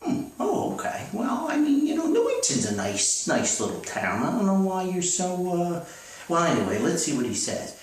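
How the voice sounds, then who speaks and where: A man's voice: high-pitched, wildly swooping pitch, normal speed. A man rambling through comedic advice as a host, hesitant and folksy, reading out letters. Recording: echoey, distant mic with faint noise.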